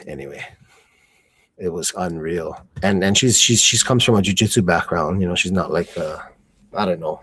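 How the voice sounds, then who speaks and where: A man with a fairly low voice talks through fights as a commentator in a very dry recording. His speech is slangy and informal, and he halts as he thinks aloud in loose, chatty live commentary. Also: husky, no echo. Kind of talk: casual live commentary chat.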